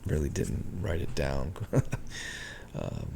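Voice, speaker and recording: A man walking through market charts on a screen share, thinking aloud with many ums and a hoarse voice. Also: very deep voice, lively intonation, slow pace, noisy background. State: hoarse, clearing his throat. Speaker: market analyst.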